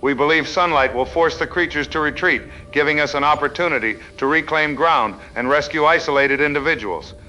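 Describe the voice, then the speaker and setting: An actor playing a male town official giving measured, authoritative emergency instructions in an audio drama. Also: a little high, pushed and bright, highs cut off, faint music behind. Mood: grave, urgent.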